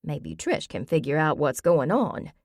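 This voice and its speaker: A woman's voice: low-pitched, very animated, measured pace, a little mellow, no room sound, studio quality, exaggerated voice. A woman voicing a stubborn, feisty old grandmother with an emphatic, folksy way of talking in an audiobook. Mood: insistent. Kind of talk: audiobook character voice.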